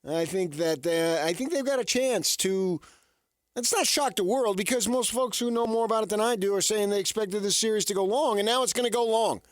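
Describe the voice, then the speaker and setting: A man's voice: sits high, a little fast, no room sound. Rambling male sports radio host, dry studio sound. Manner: rambling, asks and answers his own questions. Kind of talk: casual sports talk monologue. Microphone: studio radio microphone.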